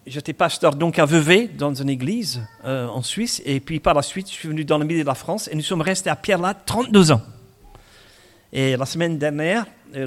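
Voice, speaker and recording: A man in his sixties with a Scottish accent, preaching a chatty sermon sprinkled with asides and humor. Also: on the high side. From Scotland. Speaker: pastor.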